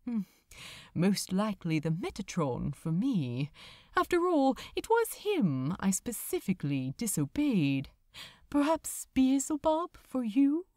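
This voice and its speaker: Woman voicing a soft-spoken, prim male angel. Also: medium pitch, huge pitch swings, slow pace, no echo, clean studio sound. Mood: thoughtful. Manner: soft-spoken, polite, hesitant.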